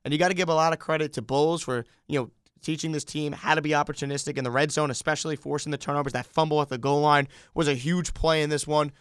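A man's voice: fairly high pitch, fairly even intonation, quick pace, clean tone, dry recording, clean studio sound. An American man hosting a sports podcast, talking through games with a fan's enthusiasm. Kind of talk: sports talk commentary.

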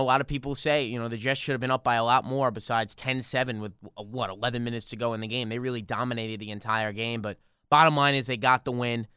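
A man's voice: medium pitch, sharp tone, clear, resonant tone, no echo, clean studio sound. An American man co-hosting a sports podcast, giving conversational game analysis.

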